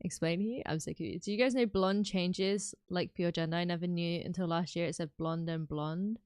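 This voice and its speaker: A British woman livestreaming, chatting casually and reacting loudly to her chat. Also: on the low side, brisk, clear, resonant tone, no echo, clean studio sound. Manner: animated and exclamatory, reacting to chat. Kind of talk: casual live stream chat.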